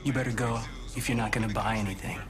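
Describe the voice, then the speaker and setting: A man's voice: fairly low pitch, steady tone, fast talker, over music, natural voice. An actor playing a surly male convenience store clerk in a video game, curt, sneering and dismissive. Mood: scornful, annoyed. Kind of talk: naturalistic video game acting.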